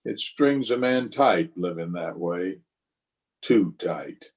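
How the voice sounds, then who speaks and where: An actor playing a weary, watchful Old West marshal in a radio western, speaking and narrating in a measured, terse, grave way. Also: male voice, low voice, lively intonation, exaggerated voice. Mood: weary, tense. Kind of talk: old-time radio western acting with first-person narration.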